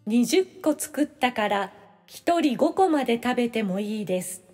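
A woman reading scripted practice sentences for language learners, with clear, instructional phrasing. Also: fairly high pitch, lively intonation, moderate pace, darkish tone, in a large hall, faint music behind. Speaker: language-lesson reader.

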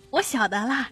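A woman's voice: high-pitched, a bit bright, slightly rough, heavily acted. A woman voicing a deferential young mother and daughter-in-law in an audiobook, coaxing and pleading as she talks. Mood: pleased. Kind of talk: audiobook character acting.

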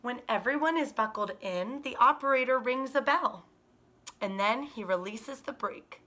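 A woman in her thirties, a kindergarten teacher, reading a picture book aloud to children in an animated, expressive way. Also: on the high side. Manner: animated, expressive, drawn-out emphasis on words. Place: small living room by a fireplace, indoors.

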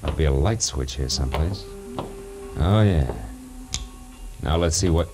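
A man's voice: extremely low pitch, unhurried. A man playing a world-weary American private detective, narrating in terse, wry 1940s radio noir style. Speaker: narrator and lead. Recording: vintage 1940s radio recording with music.

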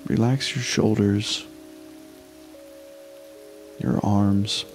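Male meditation guide, lowish voice, slow and unhurried with long pauses, music underneath. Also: steady tone.

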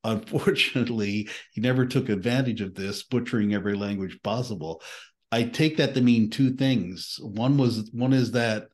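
Brief: older Canadian interviewer, low-pitched, relaxed, headset on a video call. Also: male voice, normal speed. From eastern Canada. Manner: easygoing, laughs readily. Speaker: podcast host. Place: home room with bookshelves.

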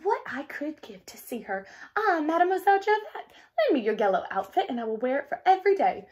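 A woman reading a fairy tale and voicing a sweet, sly young heroine who feigns innocence in a soft, wistful way. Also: high voice, sing-song, brisk, voice pushed up. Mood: feigned wonder.

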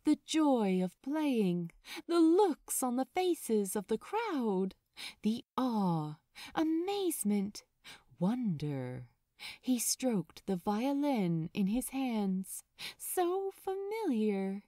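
A woman reading a story as an audiobook narrator, expressive, dramatic and measured. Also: fairly high pitch, no echo, clean studio sound.

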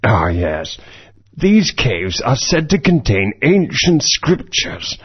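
An actor playing a kindly, absent-minded older male scholar who speaks gently. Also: medium pitch, big pitch swings, slow, slightly rough, full character voice. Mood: gentle. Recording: dull lo-fi game audio.

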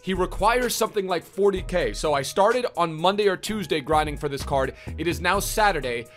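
A young man giving chatty, upbeat commentary on a video game card, his voice a little rough from streaming late, with plenty of fillers, in a small room at a desk mic. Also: high voice, lively intonation, a little fast, fairly bright tone, soft background music. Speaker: gaming YouTuber. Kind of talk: casual game review commentary. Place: small indoor room, gaming setup.

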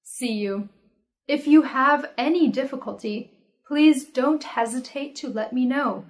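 A young woman, an English teacher, reading everyday practice dialogues aloud slowly and carefully, clearly enunciating and saying each line twice. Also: high-pitched. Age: young adult. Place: indoor studio with red curtain backdrop.

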